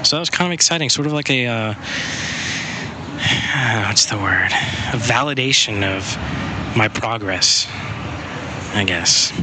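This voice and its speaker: A man's voice: medium pitch, measured pace, long pauses, heavy background noise. A young man, a native English speaker, telling an enthusiastic spoken diary as a podcaster, chatty and repeating himself as he goes. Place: walking outdoors on a city street.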